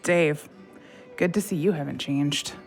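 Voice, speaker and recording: A woman's voice: low-pitched, measured pace, creaky, soft background music, heavily acted. An actress playing a brash, teasing best friend in an audio drama, blunt and wry in the way she talks. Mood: wry, needling.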